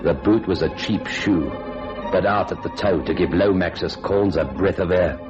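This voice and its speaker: A man's voice: low voice, normal speed, close to an everyday voice. A man playing a cynical, shifty hotel clerk in an old-time radio thriller, hardboiled and dismissive. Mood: brooding. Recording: dull vintage radio recording, music behind.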